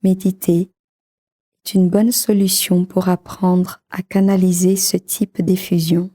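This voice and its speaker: A woman leading a guided meditation for sleep in a calm, soothing, measured manner, with a soft voice that turns breathy at times. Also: lowish voice.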